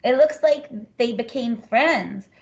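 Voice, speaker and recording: Woman playing an eager young pupil, slightly creaky voice. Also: fairly high pitch, takes it slowly, darkish tone, heavily acted. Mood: curious, eager. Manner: simple childlike guesses and questions. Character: young pupil following a read-aloud, eager and curious. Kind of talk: reading lesson role-play. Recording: dull lo-fi recording.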